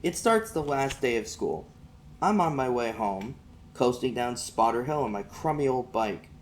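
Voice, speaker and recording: A man with a medium-pitched voice reads a story aloud as an amateur narrator. The telling is first-person and folksy, recorded with a little noise underneath. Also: expressive, normal speed. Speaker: amateur audiobook reader.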